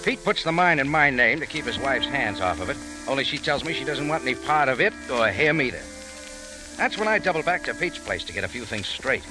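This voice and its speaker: An actor playing a tough, wry American adventurer in old radio detective style, with a clipped, casual hard-boiled delivery. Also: male voice, mid-range voice, very animated, a little fast, lightly acted, low-quality recording. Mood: hard-boiled narrating. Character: tough, wry American adventurer hero narrating his case. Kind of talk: 1940s-50s radio detective acting. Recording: old radio recording with music.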